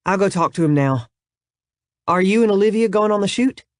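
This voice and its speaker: A man's voice: high voice, animated, a little fast, no room sound, clean studio sound, voice pushed up. A man voicing a reserved businessman of few words who talks in a plain, reserved way.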